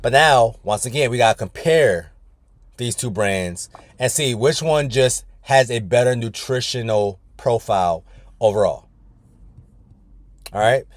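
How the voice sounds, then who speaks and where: A man's voice: mid-range voice, expressive, unhurried, long pauses, no echo. A man coaching a weight-loss group on a video call from his car, talking casually and often calling the group "y'all". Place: inside his parked car.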